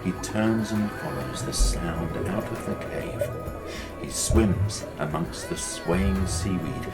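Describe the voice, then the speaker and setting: A storyteller narrating a children's tale in an expressive, drawn-out way, with music behind. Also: deep voice, creaky. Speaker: storyteller, puppet show presenter. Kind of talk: slow children's story narration with character lines. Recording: loud music under the voice.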